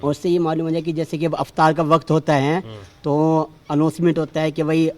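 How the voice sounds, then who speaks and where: A man's voice: fairly high pitch, flat delivery, fairly quick. A man with a western Uttar Pradesh accent explaining his view as a local resident in a street interview.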